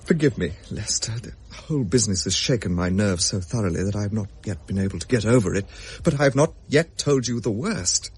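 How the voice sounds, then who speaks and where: An actor as a haunted young gentleman, husky voice. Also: lowish voice, expressive. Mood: shaken, doomed. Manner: grave, old-fashioned storytelling with halting stammers. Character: haunted, shaken young gentleman recounting a ghostly encounter. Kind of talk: dramatic period radio drama narration.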